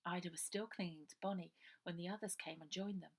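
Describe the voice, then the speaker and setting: A woman's voice: fairly low pitch, steady tone, fast. A woman reading her children's novel aloud as a dramatic storybook narration.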